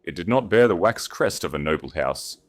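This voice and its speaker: Very deep-voiced male dramatic storyteller. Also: lively intonation, a little fast. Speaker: audiobook narrator. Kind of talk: dramatic scripted narration of a period romance story.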